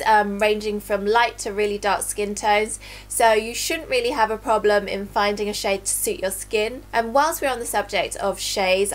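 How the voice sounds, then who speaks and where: A woman reviewing makeup in a casual, chatty way, sounding a little nasal from a cold. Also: fairly high pitch. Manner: chatty, talks while applying makeup. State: nasal from recovering flu. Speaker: beauty vlogger. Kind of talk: casual product review and demo.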